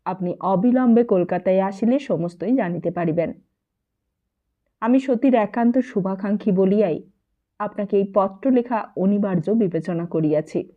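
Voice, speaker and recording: A woman's voice: mid-range voice, normal speed. Woman narrating a novel through muffled, phone-like sound. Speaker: audiobook narrator. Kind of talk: literary audiobook narration of a novel.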